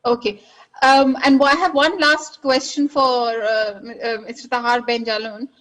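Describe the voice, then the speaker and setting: A woman's voice: fairly high pitch, flat delivery, normal speed, fairly bright tone, poor audio. A woman moderating a festival panel, asking polite, rambling questions and rephrasing them as she goes.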